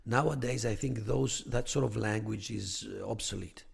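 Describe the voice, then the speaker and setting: A man's voice: lowish voice, normal speed, creaky, slightly rough, in a large hall. A man, raised in Greece, speaking as a podcast guest who explains religious history thoughtfully, with frequent restarts and "you know" fillers. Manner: thoughtful, many restarts and "you know" fillers, rising emphasis on key points. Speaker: podcast guest, theology academic. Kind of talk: conversational explanation.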